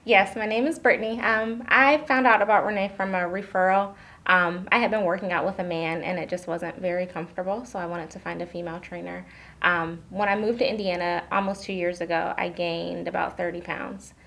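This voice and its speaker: A woman's voice: on the low side, steady tone, fairly quick, creaky, fairly bright tone. A woman in her twenties introducing herself as a participant, speaking plainly with a few um fillers. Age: 20s. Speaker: challenge participant.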